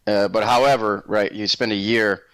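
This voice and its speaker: A man from Puerto Rico, a native Spanish speaker, telling his personal story as an interview guest in a reflective, measured, conversational way. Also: lowish voice, steady tone, fairly bright tone, clean tone, no room sound. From Puerto Rico, later Massachusetts.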